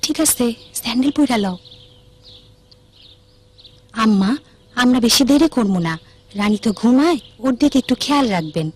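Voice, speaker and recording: Woman playing a high-voiced, animated village mother. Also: moderate pace, pauses often, background noise, lightly acted. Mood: gentle. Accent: Bangladeshi. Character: caring, watchful village mother of young children.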